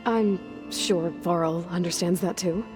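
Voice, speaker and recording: A young outcast huntress from a video game. She speaks in a lowish voice, understated and plain, determined yet drily humorous. Faint music sits behind her. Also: takes it slowly. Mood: casual. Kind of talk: naturalistic video game acting.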